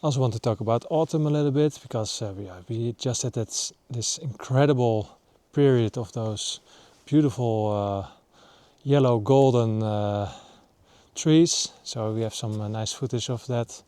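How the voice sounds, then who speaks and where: Hesitant Dutch man vlogging, smooth voice. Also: medium pitch, warm tone, dry recording. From Netherlands. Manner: halting, many ums and uhs. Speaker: nature vlogger. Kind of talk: casual vlog talk to camera. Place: outdoors in northern Sweden.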